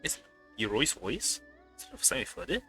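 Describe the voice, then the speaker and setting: A man's voice: mid-range voice, wildly swooping pitch, normal speed, sharp tone. A man giving casual let's play commentary in short, muttered reactions as a game streamer. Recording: faint game music behind.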